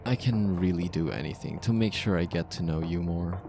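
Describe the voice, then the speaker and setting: A man's voice: sits low, fairly quick. A man playing a possessive, controlling yet tender mafia boss bridegroom in an intimate, commanding ASMR romance roleplay. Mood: possessive, coaxing.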